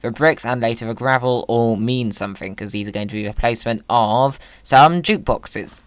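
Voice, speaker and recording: A man's voice: mid-range voice, moderate pace, no room sound. A man giving playful gaming commentary in a whimsical, silly manner. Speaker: video creator, gamer.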